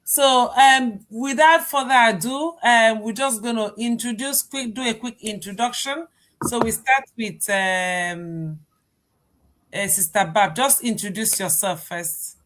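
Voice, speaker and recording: A woman's voice: on the high side, a little slow, a bit bright, slight background noise. A woman hosting an online chat, friendly and a little hesitant, with many fillers as she introduces the speakers. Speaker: host.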